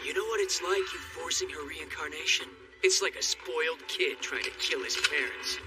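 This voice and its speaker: A man's voice: fairly high pitch, moderate pace, recorded from a distance, over loud music, close to an everyday voice. A man voicing a cool, scornful, combative god in an anime dub. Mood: cold, stern.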